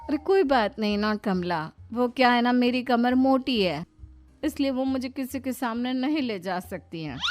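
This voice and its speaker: A woman voicing a meek, wistful, self-deprecating young daughter-in-law in a storybook tale, gently and earnestly. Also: on the high side, animated, moderate pace, dry recording, soft background music, pitched above the performer's own voice, close to an everyday voice. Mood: resigned. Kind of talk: acted storytelling.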